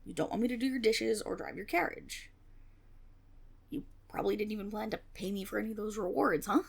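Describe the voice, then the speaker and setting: A woman's voice: mid-range voice, a little fast, vocal fry, slightly rough. A woman playing a flustered young tiefling rogue in a fantasy audio roleplay, stammering and blustering, cocky on the surface but unsure underneath. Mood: confused, dejected. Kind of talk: fantasy roleplay audio drama, one-sided dialogue.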